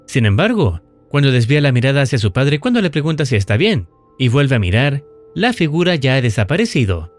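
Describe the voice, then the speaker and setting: A man reading scripted narration in a measured, explanatory storytelling way, as in a recap and analysis video. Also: mid-range voice, very animated. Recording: music under the voice. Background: background music.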